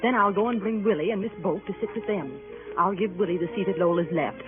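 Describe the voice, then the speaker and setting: A woman's voice: mid-range voice, fast, music in the background, close to an everyday voice. A woman playing a fretful, bustling mother hosting a party, flustered and emphatic, in old radio comedy style. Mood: harried, fretful. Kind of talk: 1930s radio comedy acting. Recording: tinny old radio broadcast.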